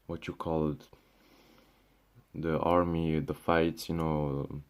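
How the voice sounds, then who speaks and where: A young man from Syria rants to his camera with a very low voice. He talks casually and loosely, tripping over restarts and fillers, dropping swear words and "bro" as he goes. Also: level tone, slow, clean tone. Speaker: vlogger. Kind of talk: casual ranting monologue.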